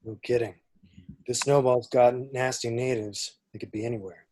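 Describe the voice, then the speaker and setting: A man's voice: mid-range voice, steady tone, moderate pace, darkish tone, no echo, natural voice. Man playing a dry-miked cocky smuggler. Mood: wry, guarded. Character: cocky, wry male smuggler hero. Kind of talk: amateur table read acting.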